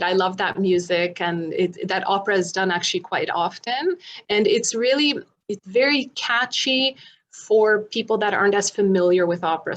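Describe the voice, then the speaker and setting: Chatty woman presenting online. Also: mid-range voice. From born in Poland. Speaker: presenter, opera singer and vocal coach. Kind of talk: informal online presentation and audience Q&A. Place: online video meeting.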